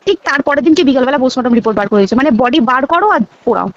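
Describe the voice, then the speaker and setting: A young Bengali woman from the sound of her West Bengal accent, speaking very quickly in a high voice. She is insistent and conversational, repeating herself for emphasis, on a dull, lo-fi phone recording. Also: animated. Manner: emphatic, insistent, repeats words and checks listener follows. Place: phone call.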